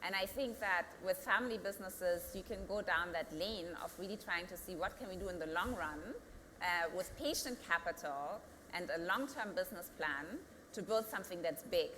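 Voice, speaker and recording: Bright-voiced woman with a German accent. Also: fairly low pitch, moderate pace, in a large hall, a little hiss or hum, low-quality recording. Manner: earnest, builds to emphatic peaks, thinks aloud with restarts. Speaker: company founder. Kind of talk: panel interview answer.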